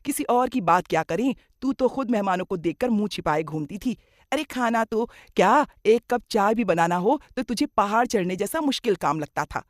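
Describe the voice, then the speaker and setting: A woman's voice: medium pitch, expressive, normal speed, no echo, clean studio sound, natural voice. A woman playing a middle-aged mother-in-law, kindly but grumbling and dramatic, in a moral cartoon story. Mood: proud, teasing.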